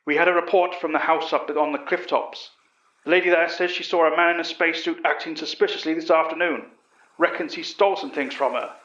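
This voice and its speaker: A man's voice: on the high side, fairly even intonation, brisk, a bit bright, lightly acted. Man voicing a blunt British police inspector, roomy. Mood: eager. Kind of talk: storytelling character voice. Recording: dull, roomy recording.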